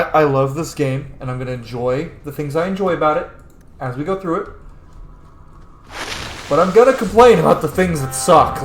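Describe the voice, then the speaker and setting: A male gaming host chats casually through a playthrough, cracking wise and swearing freely. His voice has a clear room echo, and music plays faintly behind it. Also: a little high. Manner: informal, sweary, wisecracking. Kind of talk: casual let's play commentary. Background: game music.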